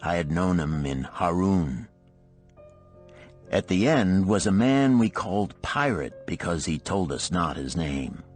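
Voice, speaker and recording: Man voicing a deep, dignified old merchant. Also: expressive, faint music behind. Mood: measured recollection. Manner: measured, earnest, archaic formal speech. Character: wealthy old merchant, dignified former slave telling his past.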